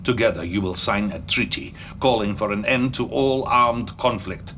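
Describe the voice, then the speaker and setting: An actor playing a treacherous, grandiose Soviet premier in a video game cutscene, speaking deliberately with menace and a Russian accent. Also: male voice, on the low side, bright, forceful tone, lo-fi sound, a little hiss or hum. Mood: conspiratorial, menacing.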